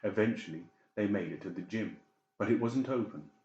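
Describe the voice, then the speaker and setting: Very deep-voiced male audiobook narrator. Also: brisk, darkish tone, slight background noise. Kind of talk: scripted dramatic story narration. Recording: roomy, slightly dull sound.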